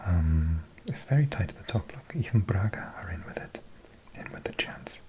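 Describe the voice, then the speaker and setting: A Welsh man in his forties who talks in a soft whisper with almost no voice behind it. His tone sits very low, and he works slowly through a list of scores as an ASMR host, stopping now and then for small offhand remarks. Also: sing-song. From Wales. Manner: soft unvoiced whisper, slow list reading with chatty asides. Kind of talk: whispered ASMR ramble reading football results.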